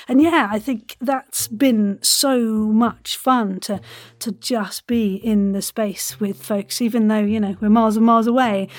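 A woman's voice: medium pitch, darkish tone, dry recording. A woman hosting a chatty podcast, speaking in an effusive, meandering way. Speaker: podcast host and audio drama voice actor.

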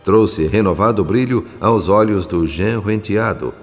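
Radio drama narrator: low-voiced Brazilian storyteller, solemn and literary, heard with long reverb. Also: male voice, a little slow. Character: solemn male narrator telling a moral family story. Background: soft music.

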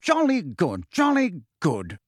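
Male reader as a pompous, jolly, blustering middle-aged minister, lively and higher, audiobook. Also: slow, no room sound, studio quality, heavily acted. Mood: hearty. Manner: blustering, heartily jolly, mocking.

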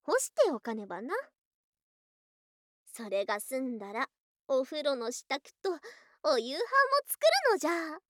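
An actress playing a proud, excitable, affectionate young female lamia wife in a voice drama, with archaic, haughty speech that easily gets flustered. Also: high voice, measured pace, long pauses, no echo, studio quality. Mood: content, musing. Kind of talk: Japanese situational ASMR voice drama.

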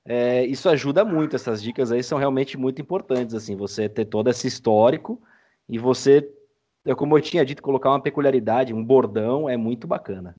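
Brazilian man, mid-pitched, earnest podcast guest. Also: a little fast, mellow. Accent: Brazilian Portuguese. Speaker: podcast guest, audiodrama creator. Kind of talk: casual interview chat.

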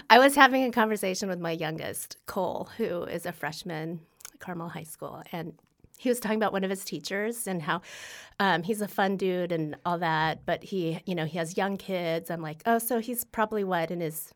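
A woman's voice: on the low side, normal speed. A woman in her fifties, a podcast guest in casual chat, who laughs often and jokes about herself. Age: 50s. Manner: laughs often, self-deprecating.

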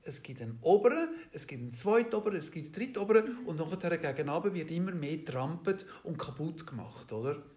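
A man with a Swiss German accent, answering an interview question at length. His voice sits a bit high for a man. He talks in a halting way, with lots of fillers and restarts, and keeps checking that his listeners follow. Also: animated, darkish tone. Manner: ends points with a questioning "oder?". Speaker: interview guest. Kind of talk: explaining in an interview.

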